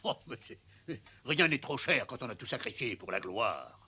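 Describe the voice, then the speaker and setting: A man's voice: mid-range voice, animated, moderate pace, pushed and bright, husky, full character voice. A man voicing a greedy, self-pitying, blustering villain in a cartoon, with theatrical delivery. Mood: pompous. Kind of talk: cartoon voice acting.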